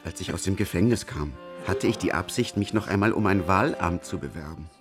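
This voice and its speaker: An actor in a radio play playing a pompous, formal ex-president turned demagogue, with an oratorical way of speaking. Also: male voice, low-pitched, brisk, over music, natural voice. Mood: grave, self-important.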